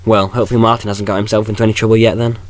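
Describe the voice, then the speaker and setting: A man's voice: fairly low pitch, flat delivery, racing, no room sound, lightly acted. A man playing a flippant, eccentric time-travelling hero in an audio drama, glib and insistent.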